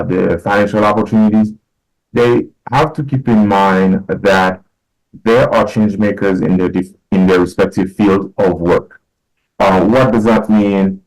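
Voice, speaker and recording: Deep-voiced middle-aged podcast guest from Haiti; measured, thoughtful, pauses often. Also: male voice, a little mellow, rough recording. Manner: measured, many fillers and restarts. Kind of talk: casual interview talk.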